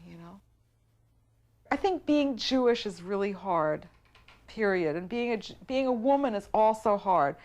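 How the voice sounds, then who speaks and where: A woman in her forties speaking in an interview, thoughtful and soft-spoken, often trailing off quietly. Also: medium pitch. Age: 40s. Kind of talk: reflective personal interview.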